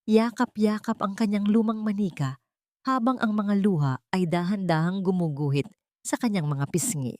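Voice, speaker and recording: A woman's voice: mid-range voice, steady tone, moderate pace, a little mellow, dry recording, studio quality. A woman with a Filipino accent reading a scripted story in a dramatic narration style.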